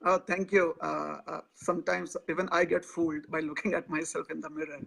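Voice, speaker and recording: A man, speaking as a guest in a live interview, pausing often with filler sounds. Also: on the high side, flat delivery, gravelly, a bit bright, breathy edge. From India. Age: 40s. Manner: hesitant, many filler sounds.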